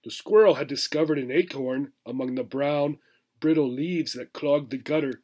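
Higher-voiced man reading a storybook. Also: moderate pace, a little mellow. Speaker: teacher reading a children's book aloud. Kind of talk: expressive storybook narration. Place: small furnished room indoors.

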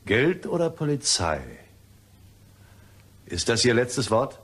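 Man playing shifty gentleman with swooping intonation. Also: medium pitch, big pitch swings, slow. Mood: defensive, tense. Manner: slippery, impatient, theatrical. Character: shifty, irritable gentleman employee suspected of embezzlement. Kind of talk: crime radio play acting.

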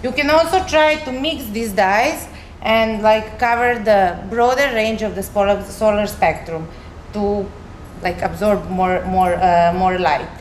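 A woman's voice: mid-range voice, unhurried. A young woman from Serbia with a Serbian accent gives an informal, explanatory science presentation, speaking a little hesitantly and simply as a researcher. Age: young adult. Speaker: chemistry researcher, recent graduate. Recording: echoey room with faint noise.

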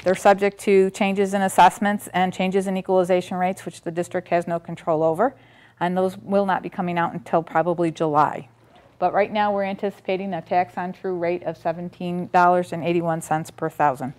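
Woman, middle-aged, lowish voice, budget presentation, reading figures, ums and restarts, meeting room, distant camera mic. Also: flat delivery, brisk, a little mellow. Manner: methodical, reads figures with frequent ums and restarts. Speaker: school district budget presenter. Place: board meeting room, standing by a projector screen. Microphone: far camera, room audio.

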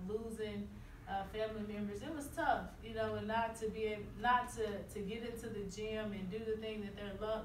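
A woman basketball coach answering press questions after a game, earnest and halting with frequent restarts. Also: medium pitch. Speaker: high school basketball coach. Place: small room at an arena. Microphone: far camera. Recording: roomy, distant, noisy.

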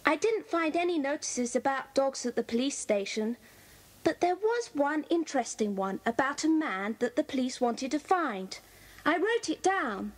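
A woman's voice: sits high, very animated, moderate pace. A woman with a British accent playing an earnest, efficient young schoolgirl in a children's audio drama. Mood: earnest reporting.

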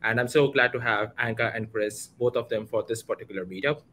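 A man's voice: mid-range voice, brisk, a bit bright, slight background noise. A man in his twenties with an Indian accent, casually hosting an online community meetup and introducing speakers in an informal way. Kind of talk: casual livestream event introduction. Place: home room, indoors, video call. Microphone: headset.

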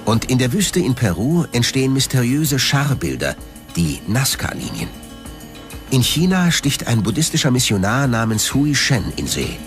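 Man narrating a documentary, lively intonation. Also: mid-range voice. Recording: music behind the voice. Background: background music.

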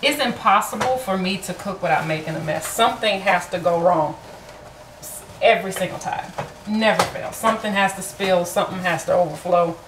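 A woman's voice: lowish voice, brisk, background noise. A woman from Florida chatting casually through a home cooking vlog, self-deprecating and full of fillers, recorded on a camera in her kitchen. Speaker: vlogger.

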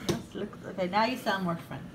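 A woman's voice: mid-range voice, a little fast. A woman in her thirties, a mother chatting casually for a family vlog. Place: home living room. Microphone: handheld phone. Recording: very noisy, roomy phone recording.

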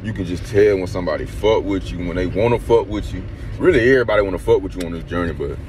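A man's voice: low-pitched, fast talker. A young man talking casually and rambling into a phone held at arm's length in a car at night. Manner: laid-back, rambling, slangy. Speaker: vlogger. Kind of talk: casual motivational vlog. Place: inside a parked car at night. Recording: noisy phone recording in a car.

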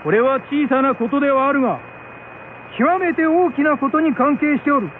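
A man acts a stern shogun in a radio drama, pitched strikingly high. He commands in lordly, archaic phrases. The sound is thin and phone-like, with noise behind it. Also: normal speed. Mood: stern, commanding. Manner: imperious, archaic lordly speech. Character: stern shogun handing down a harsh judgment. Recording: muffled narrowband radio with background noise.

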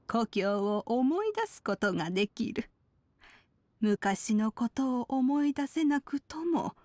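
A woman voicing a wise, gentle elderly woman in a Japanese game, speaking in a measured, old-fashioned elder manner. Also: fairly high pitch, no room sound.

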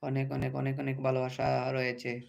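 A man's voice: mid-range voice, completely flat delivery, normal speed, gravelly, a little mellow. A man hosting a casual livestream, speaking directly to commenters and often repeating his phrases. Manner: repetitive, addressing commenters directly. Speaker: livestream host. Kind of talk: casual livestream chat with viewers.

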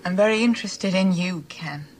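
A woman's voice: on the low side, moderate pace, over music, lightly acted, poor audio. A woman playing a coy, flirtatious shopkeeper with a scheming streak in a mystery film, giggly and hesitant. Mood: coyly threatening. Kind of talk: television film mystery acting.

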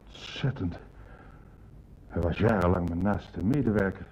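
An actor playing a courteous, troubled senior official in a radio play, speaking formally and hesitantly. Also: male voice, low voice, a little slow, a little mellow. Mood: shaken, troubled. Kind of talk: Dutch radio drama acting. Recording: dull, noisy old radio recording.

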